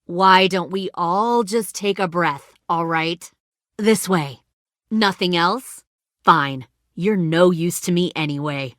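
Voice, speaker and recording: A woman's voice: lowish voice, slow, a bit bright, dry recording, studio quality. An actress voicing a ruthless, hardened woman who leads a survivor militia in a video game drama, speaking in a controlled, menacing and persuasive way. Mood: calm, coaxing.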